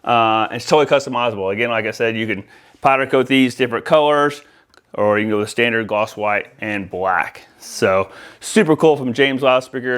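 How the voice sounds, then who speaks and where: A man's voice: mid-range voice, clear, resonant tone. A man presenting a product demonstration in a casual, explanatory way, speaking directly to viewers. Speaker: presenter for an audio-video installer.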